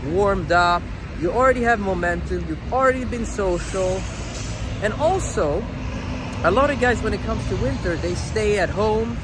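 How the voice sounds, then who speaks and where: A high-voiced man from Sweden vlogs on a noisy city street. He talks in casual slang with a very lively, swinging pitch, repeating phrases for emphasis like a coach. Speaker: dating coach and vlog host. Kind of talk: casual vlog talk addressed to viewers. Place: outdoors walking on city streets.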